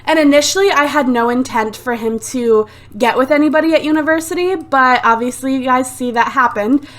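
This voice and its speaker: A fairly high-voiced woman talking through a life-sim game in a loose, chatty way, full of "um" and "like". She has a sore throat and sounds slightly phlegmy. She is recorded close on a desktop USB mic. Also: brisk, fairly bright tone. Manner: chatty and rambling, lots of "like" and "um". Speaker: let's play host. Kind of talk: casual gameplay commentary. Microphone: desktop USB microphone.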